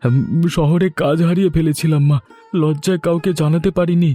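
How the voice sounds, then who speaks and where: A man plays a humble village son in a cartoon drama. His voice is fairly high yet dark and mellow, sheepish, with music behind. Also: fairly even intonation, fairly quick, lightly acted. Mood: ashamed. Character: sheepish village son who lost his city job. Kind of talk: Bengali animated family story dialogue.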